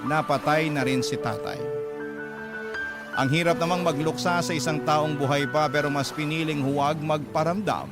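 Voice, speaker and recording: A man's voice: on the high side, steady tone, moderate pace, lightly acted. An actor playing a sorrowful young Filipino man narrating a poor childhood in a radio drama. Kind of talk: dramatic first-person storytelling. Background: loud music.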